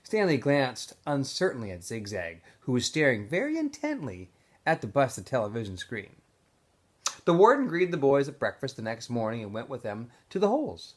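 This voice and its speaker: A man reading a novel aloud to students in an expressive, storybook style with emphatic phrasing. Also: mid-range voice, moderate pace.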